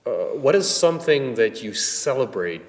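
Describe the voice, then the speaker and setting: A man's voice: mid-range voice, very animated, normal speed. A man hosting an interview, asking questions in a friendly way. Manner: friendly, brief affirming murmurs. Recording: echoey room with long reverb.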